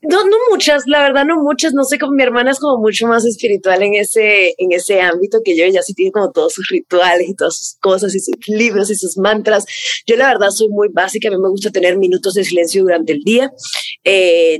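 A young Venezuelan woman with a Mexican-tinged accent talks quickly and with feeling, stacking repeated words for emphasis. Her voice sits at a middle pitch. The recording is dry, with no room sound. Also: no room sound. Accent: Venezuelan with Mexican influence. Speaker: content creator, guest. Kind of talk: casual interview answers.

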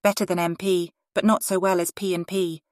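A woman's voice: fairly low pitch, level tone, a little fast, no room sound, clean studio sound, natural voice. A woman playing a genteel, candid Regency-era lady of letters in a refined, composed dramatized reading.

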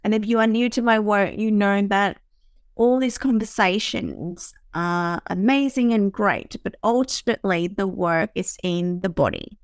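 A woman's voice: medium pitch, a little slow, smooth, no echo, studio quality. A woman from Vietnam, hosting a podcast, talking reflectively about her own life in a searching way with fillers and restarts. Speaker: podcast host. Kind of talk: reflective personal talk.

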